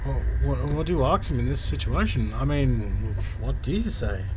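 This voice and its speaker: A man playing through a story game, reading it aloud and commenting casually, thinking out loud with some hesitation. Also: lively intonation, normal speed. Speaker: gaming video host. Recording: clear rumbling background noise.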